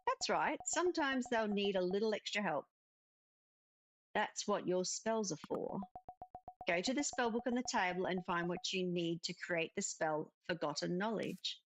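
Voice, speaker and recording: A kindly witch's spirit, read by a woman, teaching patiently in a cozy fantasy game. The delivery is expressive, with faint music behind it. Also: medium pitch, normal speed, natural voice, poor audio. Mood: instructive. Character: spirit of an elder witch, kindly female mentor. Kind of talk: cozy visual novel dialogue read aloud.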